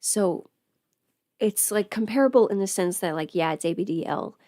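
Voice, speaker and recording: A woman's voice: medium pitch, lively intonation, moderate pace, dark tone, no room sound. Woman chatting casually, dry close sound. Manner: informal, rambling, thinking aloud. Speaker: livestream host, audio creator. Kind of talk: casual livestream chat.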